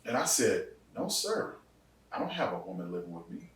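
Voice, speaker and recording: A man in his forties, a pastor, telling his testimony in a casual podcast interview, laughing often and jumping up in pitch for punchlines. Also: moderate pace, far from the mic. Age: 40s. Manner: animated storyteller, laughs often, rises sharply for punchlines. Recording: echoey room, voice off-mic.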